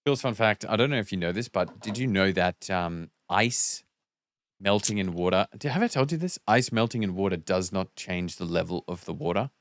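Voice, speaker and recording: Low, lively-voiced man chatting on a podcast. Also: moderate pace, dry recording. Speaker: podcast co-host. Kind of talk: casual podcast banter.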